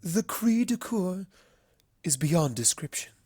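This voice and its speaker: A woman's voice: fairly low pitch, very animated, takes it slowly, darkish tone, husky, dry recording, clean studio sound, pitched above the performer's own voice, full character voice. A woman voicing a refined female unicorn pony in a storybook reading, earnest and solemn, speaking in a formal, eloquent, emotive way. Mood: pained.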